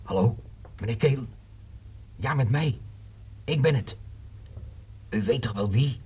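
An actor playing a flustered man stranded at a station, insisting on his word, raspy. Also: medium pitch, slow pace, a little mellow. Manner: insistent, apologetic. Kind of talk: 1960s radio play acting.

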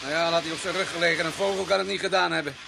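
An actor playing a matter-of-fact doctor in a Dutch radio drama, wry and chatty with a bluntly clinical manner. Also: male voice, fairly high pitch, steady tone, rapid-fire, creaky. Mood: matter-of-fact, insistent. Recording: noisy old radio play recording.

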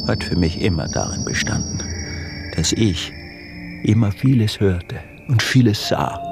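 An actor playing an obsessive recluse in a radio drama monologue, brooding and halting, with a husky, breathy voice that is often close to a whisper. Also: male voice, low-pitched, full character voice. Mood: tense, bitter. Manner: halting, brooding, insistent repetition. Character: obsessive male recluse tormented by his acute hearing.

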